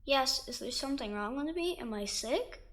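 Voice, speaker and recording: A timid, high-voiced young schoolboy. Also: female voice, lively intonation, moderate pace, fairly bright tone. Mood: timid, worried. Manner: timid, childlike. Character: shy, worried young schoolboy, trusting and polite. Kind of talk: audio drama acting.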